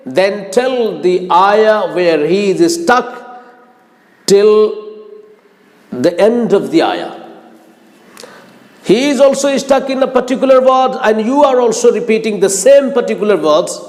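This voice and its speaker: A man preaches slowly in a fairly high voice that rises and falls with lively movement. His delivery is formal and earnest, like a religious teacher instructing students. Long reverb trails after his words. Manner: earnest, formal, deliberate phrasing. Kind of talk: religious sermon with Arabic quotations.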